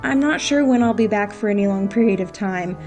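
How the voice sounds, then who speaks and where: A woman playing a dry, resolute secret agent in an audio drama, with a wry, brisk manner. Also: mid-range voice, darkish tone, faint music behind, close to an everyday voice.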